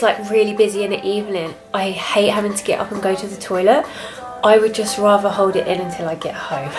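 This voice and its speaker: A young woman with a British accent and a soft, quiet voice, chatting candidly and a little reservedly as a fitness vlogger. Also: medium pitch, low-quality recording. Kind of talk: casual confessional chat to camera.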